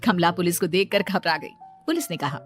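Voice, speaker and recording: A woman's voice: lowish voice, lively intonation, moderate pace, breathy edge, faint music behind. Woman narrating a cartoon story.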